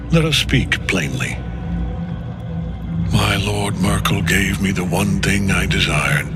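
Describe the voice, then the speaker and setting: A grim servant of death speaks from low in his register. Each phrase is slow, measured and heavy with menace, the solemn devotion of a fantasy game's villainous general, with music clearly behind him. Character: grim, fanatically devoted male undead general. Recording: voice over music.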